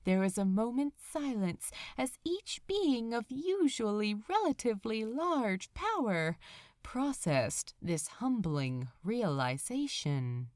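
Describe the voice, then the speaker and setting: A woman reading a story aloud as narrator, with deliberate, dramatic phrasing. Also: on the high side, very animated, a little mellow, dry recording, studio quality. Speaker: audiobook-style fan fiction narrator. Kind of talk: expressive scripted story narration.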